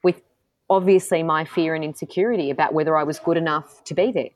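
Frank woman, lowish dry voice. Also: fairly even intonation, quick pace, no echo. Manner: frank, self-assured, frequent restarts. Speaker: politician and guest. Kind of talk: candid interview answers.

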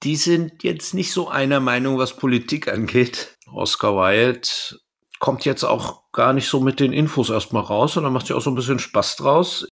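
A man's voice: mid-range voice, moderate pace, vocal fry, fairly bright tone, husky. A man, a podcast co-host casually retelling and commenting on a story. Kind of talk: casual discussion of an audio drama, retelling and commenting.

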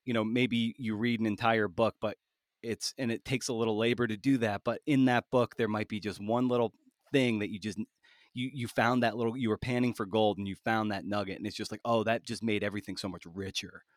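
Male podcast host, lowish voice in a dry space, thoughtful and halting. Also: steady tone, no room sound. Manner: thoughtful, halting, many restarts. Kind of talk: conversational interview.

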